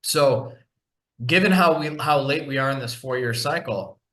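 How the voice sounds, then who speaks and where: A man's voice: medium pitch, normal speed, fairly bright tone. A man hosting a market podcast, talking casually through charts on a shared screen, with frequent fillers and restarts. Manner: conversational, many fillers and restarts. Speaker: podcast host and market analyst.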